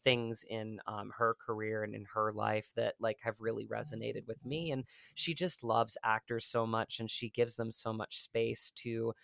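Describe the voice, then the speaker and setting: A man answering as an actor guest in an interview, speaking earnestly and effusively with thoughtful pauses. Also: on the low side, little pitch movement, creaky, fairly bright tone, no room sound, clean studio sound. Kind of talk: reflective conversational interview answers.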